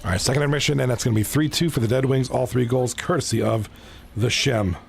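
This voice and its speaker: Gravelly-voiced man on commentary. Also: mid-range voice, quick pace. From Canada (Toronto). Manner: casual, chatty, talks to viewers by name. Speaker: streamer and league commentator. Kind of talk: live esports hockey commentary and chat.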